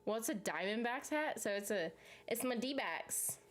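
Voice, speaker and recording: A woman's voice: fairly high pitch, lively intonation, normal speed. Woman, Southern US accent, YouTube host, chatty live stream banter. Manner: chatty, rambling, answers chat comments. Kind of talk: casual live chat with viewers.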